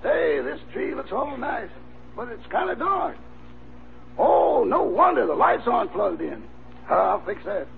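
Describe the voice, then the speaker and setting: An actor playing a wry, put-upon manservant with a folksy, deferential manner in an old radio comedy. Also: male voice, extremely high pitch, very animated, unhurried, gravelly, a crowd in the background, exaggerated voice. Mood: musing. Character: put-upon, wry male servant to his boss. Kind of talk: 1940s radio comedy acting. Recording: tinny, crackly old radio broadcast, studio audience.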